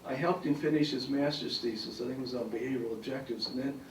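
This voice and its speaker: An older man, a retired professor, telling rambling stories at a farewell event, his voice raspy and hoarse from bronchitis. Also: mid-range voice, fairly even intonation, fast talker, recorded from a distance, a little hiss or hum. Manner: rambling anecdotes with frequent fillers. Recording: echoey hall recording from afar.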